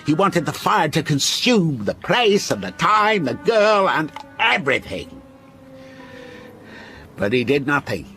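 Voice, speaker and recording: A man's voice: mid-range voice, a bit bright, exaggerated voice. An actor playing a menacing, obsessive man who tells a ghost story to a captive listener in a radio drama, insistent and intimate. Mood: bitter, brooding.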